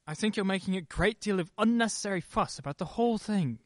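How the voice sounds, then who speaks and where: A woman's voice: medium pitch, fast talker, a little hiss or hum, natural voice. A woman playing a short-tempered, rattled husband in an old-time radio play. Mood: stubborn.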